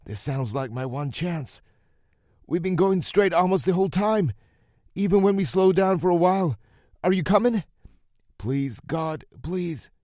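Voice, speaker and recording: A man's voice: a little high, normal speed, a little mellow, no echo, clean studio sound, voice pushed up, lightly acted. A man voicing a desperate young woman captive. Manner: pleading, tearful, raised voice.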